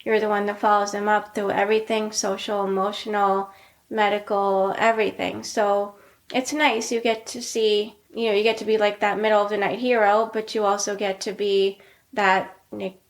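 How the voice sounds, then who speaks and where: A middle-aged woman speaking softly and casually, her pitch staying in a narrow, level band. She leans on filler words as she talks things through with a friend. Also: moderate pace. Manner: soft-spoken, many "like" fillers, steady even delivery. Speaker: podcast co-host, pediatrician. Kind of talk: casual conversational chat.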